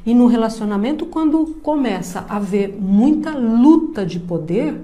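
A woman in her fifties from Brazil, a psychologist giving a calm, measured online lecture to camera from a room at home. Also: a little high. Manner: slow, measured, explaining step by step. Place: indoor room with plants and a painting behind. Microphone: webcam framing, seated at desk.

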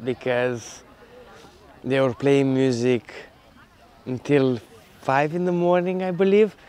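A man's voice: mid-range voice, fairly even intonation, takes it slowly, a little mellow, clean tone. A man in his thirties chatting casually to camera outdoors as a travel vlogger. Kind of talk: casual vlog talk to camera. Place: outdoors, open beach and lakeside woodland.

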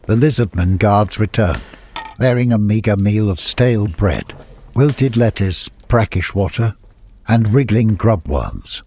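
A man reading a scripted story as an audiobook narrator, with a husky voice. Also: on the low side, no room sound.

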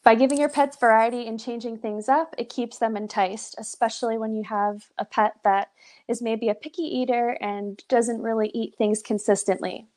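A woman's voice: fairly high pitch, steady tone, normal speed, a little mellow. A young woman presenting a live pet nutrition webinar over a video call, upbeat and encouraging, with lots of "so" and "awesome". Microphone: webcam on a video call.

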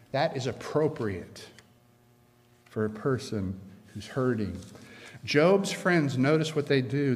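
An older man preaching a sermon from a church pulpit, earnest and measured, with slow emphatic pauses. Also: medium pitch. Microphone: pulpit stand mic. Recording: long reverb of a church hall.

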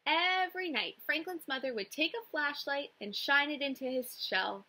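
Bright, animated young woman reading a storybook. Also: high-pitched. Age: young adult. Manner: deliberate, animated phrasing. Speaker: teacher reading a picture book. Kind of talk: expressive storybook read-aloud for children. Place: small indoor room with classroom wall displays.

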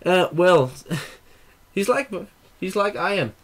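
Young Austrian gamer host; energetic reactive commentary on a headset, fairly high pitch, quick swearing and laughs. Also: male voice. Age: young adult. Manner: excitable, swearing, laughing, reads game text aloud. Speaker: let's play host. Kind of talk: gaming commentary. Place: small room at a computer. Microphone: headset mic.

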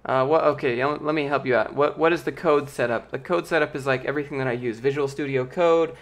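A young man in his late twenties talks in a loose, rambling way, reading viewer questions aloud and answering them as he goes. His voice is light and boyish and now and then squeaks. He sits close to a big studio microphone in a small home office. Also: fairly even intonation, fast. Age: late 20s. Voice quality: youthful, light voice that sometimes squeaks. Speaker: streamer answering chat. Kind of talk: casual live-stream chat. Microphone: large studio mic with pop filter, close.

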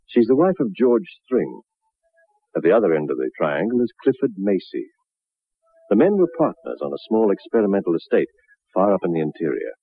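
Chatty raconteur, lively intonation, old-fashioned phrasing, 1960s radio drama, muffled narrowband recording. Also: male voice, low voice. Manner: hesitant asides, clubby old-fashioned phrasing. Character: chatty raconteur telling a friend a wild tale.

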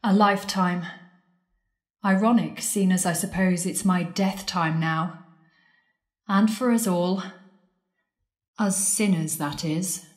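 A woman's voice: on the low side, steady tone, takes it slowly, long pauses. A woman playing a sardonic, frightened penitent at the world's end in an audio drama, babbling and joking wryly out of nerves. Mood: wry, probing. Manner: babbling, wry, joking nervously. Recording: echoey room.

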